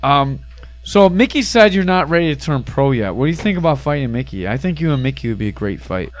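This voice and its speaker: A man's voice: medium pitch, lively intonation, fairly quick. A middle-aged man hosting a casual podcast, ribbing and swearing as he chats. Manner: teasing, crude, sweary. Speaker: podcast host. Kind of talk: casual chat, ribbing banter.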